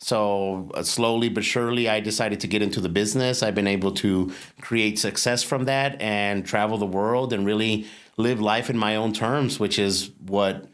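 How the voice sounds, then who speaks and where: A man in his forties from Los Angeles speaks as an interview guest. His voice sits a little low, and he tells his story candidly in a loose, conversational way, often repeating words as he thinks. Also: steady tone, normal speed, fairly bright tone, smooth. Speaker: guest, real estate investor and mindset coach.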